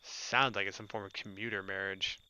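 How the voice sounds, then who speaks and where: A man's voice: lowish voice, level tone, a little fast, bright, forceful tone, clean tone, no echo, low-quality recording. A young man doing casual gameplay commentary and reading visual novel text aloud. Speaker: let's player. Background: game music.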